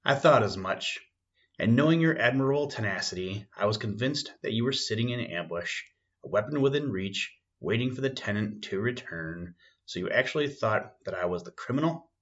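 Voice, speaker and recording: A man reads aloud as a keen male detective from a classic storybook. The voice is fairly low with a forceful edge. He phrases things formally and precisely, with dry irony, on a slightly dull recording. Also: animated, normal speed. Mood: keen, amused. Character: keen, dryly ironic male English detective.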